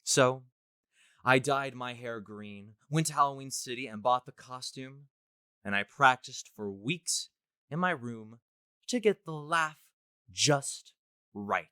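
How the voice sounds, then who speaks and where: A man's voice: mid-range voice, slow pace, pushed and bright, clear, resonant tone, no room sound, studio quality. A man playing a smug, deluded college student who thinks he is the Joker, in a pompous, theatrical story reading. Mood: grandiose. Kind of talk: dramatic first-person story reading.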